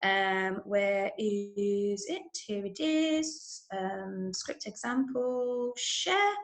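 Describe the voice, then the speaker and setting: A woman's voice: medium pitch, lively intonation, drawn-out words. English woman teaching, echoey room. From Manchester, England. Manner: clearly instructional, frequent check-ins with okay and right. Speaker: drama workshop teacher. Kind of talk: online workshop lesson for children. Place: indoors, online video session, roomy sound. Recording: roomy echo on online call.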